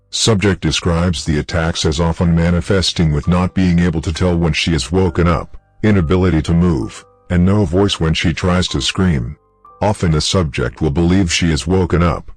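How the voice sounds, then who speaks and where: Very deep-voiced man acting as clinical researcher. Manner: detached, clinical, measured. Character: male clinical researcher reading a case file. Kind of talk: scripted horror audio narration, formal report.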